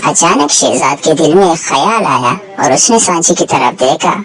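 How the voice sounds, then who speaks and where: A woman's voice: sits low, expressive, moderate pace, a little hiss or hum. A woman narrating a scripted story for children.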